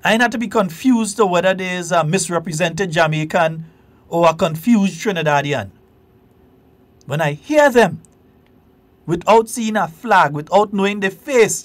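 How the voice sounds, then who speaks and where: A man's voice: sits high, expressive, unhurried. A Grenadian man hosting a call-in radio talk show, talking with passion and emphasis. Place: radio studio.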